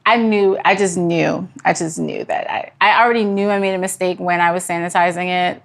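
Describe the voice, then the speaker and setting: A woman's voice: lowish voice, fairly even intonation, brisk, gravelly. A woman chatting informally and candidly as the guest in a podcast interview. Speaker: podcast guest, brewery founder. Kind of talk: casual interview chat.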